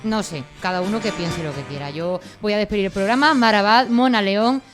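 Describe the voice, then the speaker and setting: A woman's voice: medium pitch, a little fast, music in the background. A woman with a Spain Spanish accent, co-hosting a podcast in a casual, conversational style. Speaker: podcast co-host. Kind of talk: casual interviewing and recapping.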